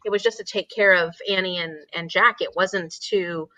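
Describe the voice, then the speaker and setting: Bright-voiced woman chatting about books. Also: lowish voice, fairly even intonation, moderate pace, muffled recording. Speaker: co-host of an online book discussion. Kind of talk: casual book chat.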